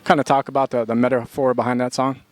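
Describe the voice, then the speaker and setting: A man's voice: mid-range voice, level tone, fast talker, dry recording. A male interviewer asking long, winding questions full of "you know" and "uh", recorded at a music venue.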